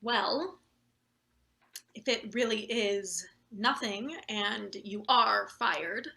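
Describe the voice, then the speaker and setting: A woman's voice: mid-range voice, very slow, vocal fry, bright, forceful tone, heavily acted. A woman playing a coldly manipulative, impatient boss in a satirical play reading, with a pointed, insinuating delivery. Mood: veiled menace. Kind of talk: table read of a satirical stage play.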